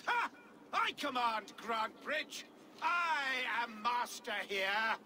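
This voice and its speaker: A man's voice: very high-pitched, animated, takes it slowly, bright, forceful tone, a little hiss or hum, full character voice. A man acting as an arrogant, contemptuous Saxon noble villain in a video game, haughty and declamatory. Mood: furious, imperious. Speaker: enemy Saxon lord.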